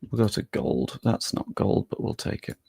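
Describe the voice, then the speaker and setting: A man's voice: normal speed, dark tone, no room sound. A young man live-streaming, chatting casually with his viewers and thinking aloud as he works on screen.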